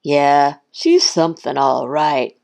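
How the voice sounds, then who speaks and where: A woman's voice: deep voice, slow, vocal fry, darkish tone, exaggerated voice. A woman voicing a reluctant, glum goose in a children's storybook, mumbling and groaning the lines. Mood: unimpressed.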